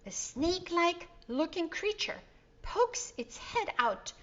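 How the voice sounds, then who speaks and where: A woman storyteller reading a children's story aloud in an expressive way, with dramatic pauses and asides to young listeners. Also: extremely high pitch, dull audio.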